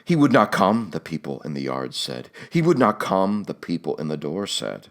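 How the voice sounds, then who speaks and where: A man narrating a literary audiobook in a measured, dramatic storytelling manner. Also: sits low, sing-song.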